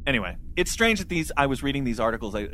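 A man's voice: medium pitch, very animated, rapid-fire, bright, forceful tone, slight background noise. A man co-hosting a podcast, talking casually about a book in a candid, self-deprecating, rambling way. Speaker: podcast co-host. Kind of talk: casual book discussion chat.

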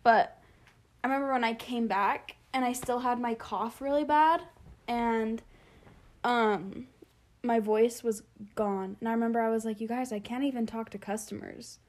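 A young woman with a fairly high voice chats casually with a friend. She tells long stories, packed with "like" and quick laughs. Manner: chatty storyteller, lots of "like" and laughs. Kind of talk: casual chat.

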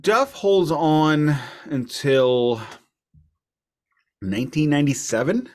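A man's voice: fairly high pitch, very animated, slow. A man co-hosting a music podcast, talking in a casual, explanatory, storytelling way. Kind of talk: casual album discussion.

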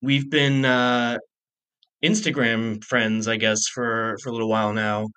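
A man in his thirties hosting a podcast, chatting casually in a halting way with frequent false starts. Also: medium pitch, level tone, a bit bright, smooth. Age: 30s. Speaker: podcast host. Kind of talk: casual conversational interview.

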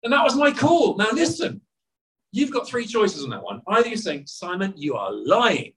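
A man in his forties preaches with a bright, lifting voice that swings widely up and down. He talks to the crowd conversationally, tossing out rhetorical questions. The sermon is given outdoors in a car park. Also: sits high, sing-song, normal speed. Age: 40s.

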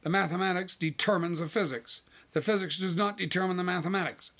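A man's voice: high voice, fairly quick, creaky, fairly bright tone, voice pushed up, heavily acted. A man voicing a blunt, easily frustrated wife in a story, emphatic and insistent. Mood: forceful, insistent.

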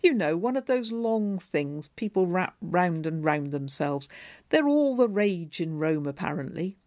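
A woman's voice: lowish voice, big pitch swings, a little slow, darkish tone, no echo, studio quality. A woman putting on a bored, vain king. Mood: demanding. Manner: imperious, dismissive.

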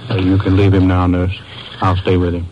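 An actor playing a sober hospital doctor in a 1950s radio drama, speaking in a grave, clinical manner. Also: male voice, low voice, completely flat delivery, normal speed, natural voice. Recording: dull, noisy old-time radio recording.

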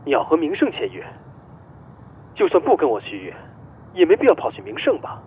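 An obsessive, possessive ex-lover in an audio drama. His voice is on the high side and swings dramatically from word to word. The recording is somewhat dull with faint noise behind. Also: big pitch swings, brisk. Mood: frustrated, reproachful. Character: pleading, possessive male boss, obsessive spurned ex-lover. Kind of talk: melodramatic Chinese audio drama acting.

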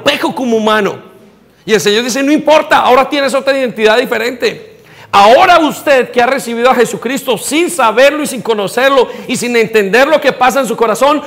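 Colombian male pastor, high-pitched, impassioned sermon delivery, reverberant hall sound. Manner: preaching, rising to near shouts, direct questions to listeners.

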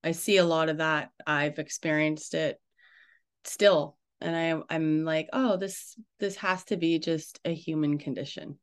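A man hosting a casual interview, talking informally and haltingly as he thinks aloud. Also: on the high side, fairly even intonation, smooth. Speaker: interviewer, host.

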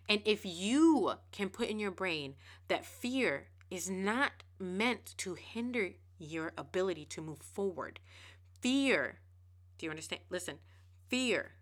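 A woman hosting a podcast, giving a casual motivational monologue. She talks fast and emphatically, repeating words and restarting phrases. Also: medium pitch, fairly bright tone. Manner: emphatic, preachy. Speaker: podcast host.

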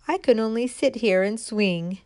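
A woman's voice: on the high side, measured pace, a little mellow, dry recording, studio quality, lightly acted. A woman voicing a small, timid and hopeful little acorn girl in a storybook tale, with an eager, pleading manner.

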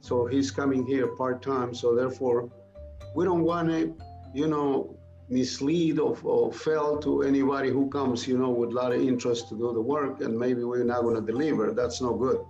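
A middle-aged or older man talking cautiously and haltingly on a business call as a prospective client. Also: mid-range voice, steady tone, a little mellow. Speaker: small accounting business owner, prospective client. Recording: echoey, dull lo-fi call audio with music. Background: music.

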